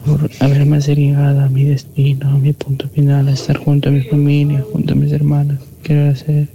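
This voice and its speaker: A man's voice: fairly high pitch, monotone, brisk, creaky, dark tone. A man from Ecuador, calling in to give an earnest, grateful testimony that slips at times into whispers. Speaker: caller giving a testimony. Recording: dull phone line with background noise.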